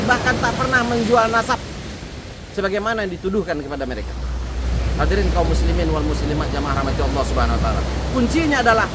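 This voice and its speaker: Earnest male preacher over loud background noise. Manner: earnest preaching that swells louder and higher on key words, then drops low. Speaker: Islamic preacher. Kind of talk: sermon to a congregation.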